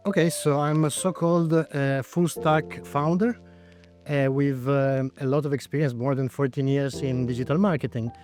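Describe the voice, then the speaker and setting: A man's voice: mid-range voice, fairly even intonation, normal speed, a little mellow, faint music behind. Italian-accented man in his forties. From Italy. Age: 40s. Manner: thoughtful, with frequent hesitations. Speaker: startup founder guest. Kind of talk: interview answers.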